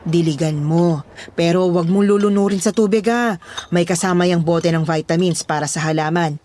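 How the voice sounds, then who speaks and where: A woman's voice: fairly low pitch, steady tone, moderate pace, darkish tone, a little hiss or hum, natural voice. A woman playing a stern, secretive lady employer who questions and orders her houseboy in an audio horror drama. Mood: commanding. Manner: bossy, questioning.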